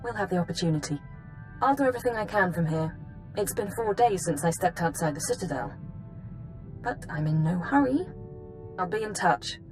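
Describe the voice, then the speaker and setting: A woman playing a composed female intelligence analyst in a video game, giving briefings in a measured, formal way. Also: fairly low pitch, lively intonation, faint music behind, lightly acted. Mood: businesslike concern. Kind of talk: scripted video game acting, mission briefing.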